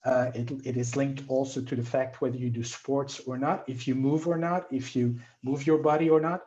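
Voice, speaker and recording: Belgian man in his fifties, mellow. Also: medium pitch, fairly even intonation, normal speed, muffled recording. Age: 50s. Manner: earnest, explanatory, slightly non-native phrasing. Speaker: guest speaker. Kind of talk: presentation on a video call. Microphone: video call.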